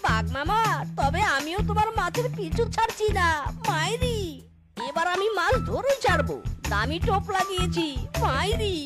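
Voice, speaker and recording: A very high, squeaky cartoon fox, a sly husband and would-be thief. He exclaims, brags and whines in an excitable way, with loud children's music behind him. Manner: exclaiming, boastful, whiny when in trouble. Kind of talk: cartoon voice acting.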